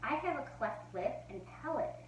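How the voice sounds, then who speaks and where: A woman voicing a sad little boy in a children's book read-aloud, speaking softly. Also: high voice, expressive, normal speed, pitched above the performer's own voice. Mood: quiet. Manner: soft, quiet storybook voicing. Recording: roomy echo, far from the mic, faint hum.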